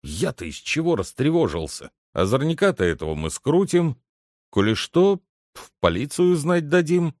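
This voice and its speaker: A man's voice: on the high side, very animated, normal speed, darkish tone, no echo, studio quality, pitched above the performer's own voice. A man voicing an impatient, condescending head butler in a storybook, brusque and commanding as he questions the servants. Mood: musing. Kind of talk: dramatic literary audiobook character reading.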